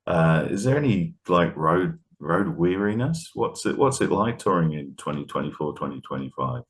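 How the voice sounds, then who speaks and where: A man with a low voice conducts a casual interview. He speaks conversationally, in the relaxed wording of an informal chat between interviewer and guest. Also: normal speed.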